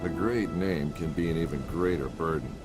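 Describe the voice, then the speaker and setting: An actor playing a cynical, world-weary adventurer for hire in a video game, with a wry, sardonic way of talking. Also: male voice, a bass voice, moderate pace. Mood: brooding, wry. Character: cynical mercenary adventurer man, wry and world-weary, living off his family name. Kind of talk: video game acting and scripted narration. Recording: loud music behind voice.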